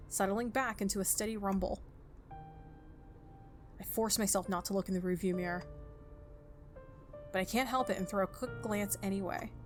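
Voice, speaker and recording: A woman hosting a game playthrough, reading a visual novel aloud and thinking out loud in an informal, expressive way. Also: medium pitch. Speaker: gaming video host. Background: music.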